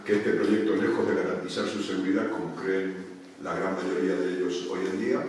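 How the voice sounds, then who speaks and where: Deep-voiced man, echoey room. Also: steady tone, fairly quick, a little mellow, a little hiss or hum. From Argentina. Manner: hesitant, many restarts and fillers. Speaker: panel speaker. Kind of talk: public talk at a union event. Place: meeting room at a union headquarters. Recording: echoey room, speaker far from mic.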